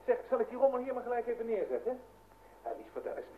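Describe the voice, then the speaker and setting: An actor playing an eager, teasing young brother, chatty and bustling, in an old-fashioned radio play. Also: male voice, extremely high pitch, sing-song, fast. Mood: brisk, teasing. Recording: tinny, noisy old radio recording.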